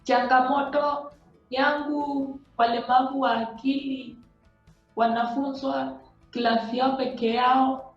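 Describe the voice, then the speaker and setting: High-voiced woman from Kenya, very echoey. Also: little pitch movement, slow, soft background music. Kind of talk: short advocacy statements. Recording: very echoey dull-toned room.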